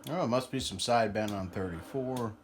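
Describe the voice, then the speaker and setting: A man's voice: lowish voice, fairly quick. A man giving an informal, hands-on demonstration commentary at a repair bench, thinking aloud as he works. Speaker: radio repair hobbyist, video presenter. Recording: clear background noise.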